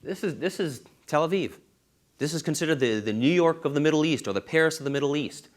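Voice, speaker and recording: A man's voice: on the high side, lively intonation, fast. Earnest man giving a university seminar. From Israel. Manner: earnest, stresses key figures with emphasis. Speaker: law professor giving a seminar.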